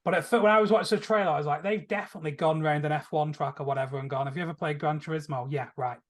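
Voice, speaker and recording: A man's voice: on the high side, steady tone, very fast. A man co-hosting a podcast in casual film chat, acting out imagined remarks as he explains. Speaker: podcast co-host.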